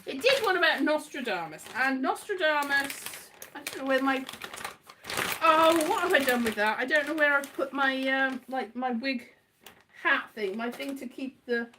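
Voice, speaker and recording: A woman's voice: sits high, animated, moderate pace, sharp tone, slight background noise. A woman chatting in a casual, rambling monologue, with a scatterbrained, giggly, self-deprecating manner. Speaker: performer and host, former journalist.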